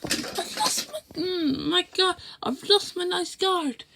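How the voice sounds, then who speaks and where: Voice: extremely high pitch, takes it slowly, creaky, background noise, voice pushed up, heavily acted. A young-sounding reader voicing sweet, worried old female railway coaches from a storybook in a plaintive, repetitive sing-song. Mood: sobbing, worried.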